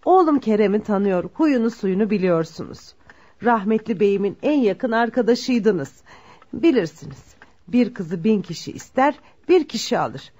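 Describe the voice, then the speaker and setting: Husky widowed matchmaking mother, muffled recording. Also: mid-range voice, very animated, normal speed, dark tone. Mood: courteous, ceremonious. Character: widowed mother formally seeking a bride for her son. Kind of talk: Turkish radio theater acting. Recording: muffled lo-fi radio drama recording.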